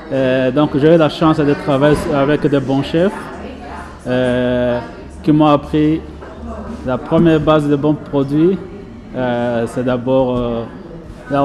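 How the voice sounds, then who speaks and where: Frenchman giving a testimonial over music. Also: mid-range voice. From France. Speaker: pastry chef and business owner being interviewed. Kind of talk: casual personal testimonial.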